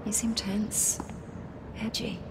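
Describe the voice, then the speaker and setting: A woman's voice: mid-range voice, little pitch movement, slow, whispered, close to an everyday voice. An actress playing a poised, sultry, persuasive female schemer in a video game. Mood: coy, probing. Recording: loud background noise.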